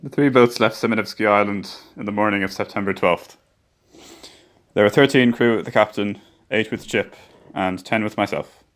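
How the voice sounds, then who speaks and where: A man's voice: lowish voice, normal speed, natural voice. A man playing a ship's chief engineer who soberly narrates a polar expedition in a radio play. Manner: sober storytelling.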